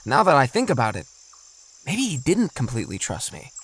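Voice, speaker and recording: Animated, thoughtful dad. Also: male voice, mid-range voice, brisk, breathy edge, heavily acted. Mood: pensive. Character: earnest, thoughtful father leading children on an adventure. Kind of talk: children's audio drama acting.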